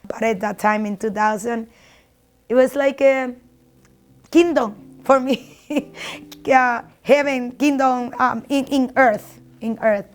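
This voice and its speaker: A middle-aged woman from El Salvador with a Salvadoran Spanish accent, telling her life story in an interview, pausing often to search for English words. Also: a little high, fairly even intonation. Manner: halting, searching for words, soft laughs. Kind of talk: personal documentary interview.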